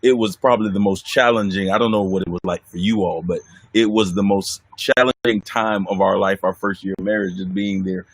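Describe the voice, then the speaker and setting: A man with a deep voice, chatting easily as host about marriage and laughing often. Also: brisk, no echo, poor audio. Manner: relaxed, chuckling, talks of his deep voice. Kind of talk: casual conversation.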